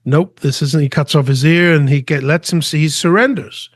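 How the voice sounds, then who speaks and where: A man's voice: a little high, no room sound, clean studio sound. A middle-aged man from England who grew up in Spain, a guest answering interview questions earnestly, often restarting his sentences. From England, raised in Spain. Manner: earnest, halting, with frequent restarts. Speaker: artist and guest.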